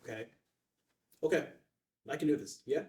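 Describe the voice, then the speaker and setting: An actor playing an eager, awkward young office assistant in a table read, hesitant and rambling. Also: male voice, fairly high pitch, moderate pace, warm tone, close to an everyday voice. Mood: nervous, eager. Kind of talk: filmed screenplay table read. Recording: echoey room, distant mic.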